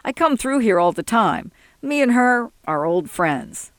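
A woman's voice: on the high side, very animated, measured pace, no room sound. A woman voicing a laid-back, unworried male traveller in a science fiction story, with casual, folksy, ungrammatical talk. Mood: easygoing, reassuring.

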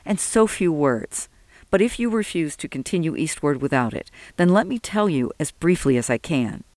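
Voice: mid-range voice, animated, normal speed, darkish tone, no room sound, lightly acted. A narrator voicing a devout, weary old man who speaks with solemn, earnest emphasis in an audiobook. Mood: reverent. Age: older.

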